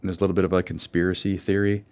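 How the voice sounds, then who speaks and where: Low-pitched American man chatting casually. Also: level tone, racing, a little mellow. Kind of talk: casual podcast chat.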